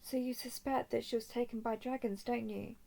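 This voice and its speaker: Noble dragon lady, played by a woman: solemn, earnest, soft-spoken, flat melody, touch of creak, intimate roleplay monologue. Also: on the high side. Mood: curious, realizing. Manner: formal, measured, earnest speech. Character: noble female dragon of a ruling house, gentle, solemn.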